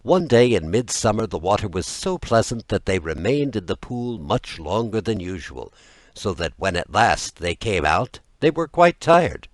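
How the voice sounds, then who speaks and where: A man reading a fairy tale as an audiobook narrator, with expressive, deliberate storytelling. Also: fairly low pitch, dry recording.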